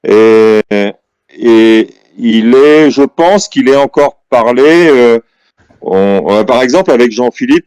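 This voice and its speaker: A man from Normandy in a casual video-call chat, halting and restarting often. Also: mid-range voice, darkish tone, dry recording. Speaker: participant.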